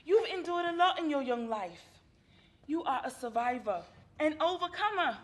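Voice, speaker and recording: Woman acting as a calm therapist, high voice, reassuring, measured. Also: a little hiss or hum. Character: calm, encouraging female therapist. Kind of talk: stage play acting.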